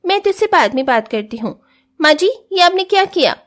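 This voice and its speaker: A spoiled young rich wife from a cartoon family drama, voiced by a woman. She is haughty and whiny, with a very high pitch that swings up and down as she complains and talks back. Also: very animated, fairly quick. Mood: indignant.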